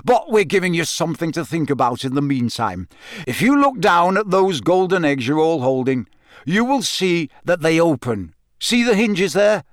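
A man's voice: fairly high pitch, very animated, moderate pace, no room sound, studio quality, voice pushed up. A man voicing a jovial, effusive middle-aged official hosting a contest in an audiobook. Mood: jovial, brisk. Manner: effusive, announcing.

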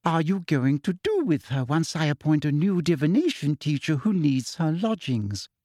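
Guy doing a high sugary-menacing lady inspector, shrill, dry close sound. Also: expressive, normal speed, mellow, no room sound. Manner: falsely sweet, shrill, deadly. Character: sweetly menacing prim female school inspector.

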